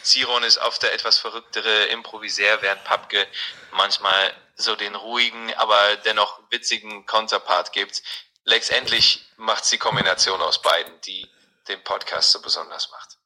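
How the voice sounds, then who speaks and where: Synthetic male assistant voice, bright and husky, relentlessly agreeable, heard through a phone. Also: on the low side, steady tone, moderate pace, poor audio. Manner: polite, neutral, overly agreeable. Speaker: AI chatbot voice. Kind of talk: scripted-sounding assistant replies. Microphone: played from a phone speaker.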